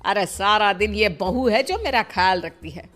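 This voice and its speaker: A woman's voice: medium pitch, lively intonation, normal speed, fairly bright tone, close to an everyday voice. A woman voicing a protective, scolding elderly mother-in-law in a dramatized moral story. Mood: indignant. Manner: blunt, scolding, questioning. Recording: music behind the voice. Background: background music.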